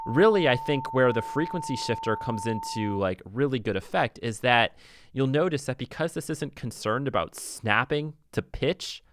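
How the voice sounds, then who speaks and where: A man narrating a casual music software tutorial as an instructor, explaining things conversationally and thinking aloud as he goes. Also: mid-range voice, animated, normal speed, background noise.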